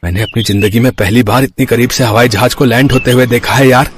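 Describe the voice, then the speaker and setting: A man's voice: medium pitch, steady tone, fast talker, slight background noise, natural voice. A man voicing an easygoing young village friend in a cartoon story, colloquial and given to exclaiming. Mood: excited.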